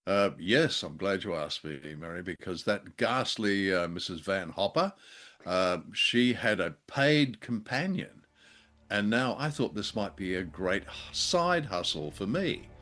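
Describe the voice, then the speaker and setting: A man co-hosting a conversational review show with a droll, halting delivery. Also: deep voice, lively intonation, fairly bright tone. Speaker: podcast co-host. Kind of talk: conversational radio drama review.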